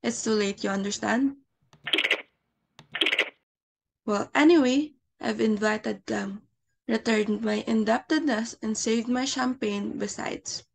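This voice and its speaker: Woman playing snobbish hostess mother, high-pitched, exclamatory, bossy, amateur play reading, background noise. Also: a little slow, vocal fry. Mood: brisk, bossy. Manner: haughty, exclamatory, easily flustered. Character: fussy, snobbish society hostess and domineering mother. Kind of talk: amateur dramatic reading of a stage comedy.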